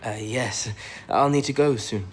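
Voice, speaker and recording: An actor playing an earnest, modest young codebreaker, hesitant and understated, in a wartime audio drama. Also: male voice, mid-range voice, a little slow, close to an everyday voice. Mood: reticent. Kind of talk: period radio drama acting.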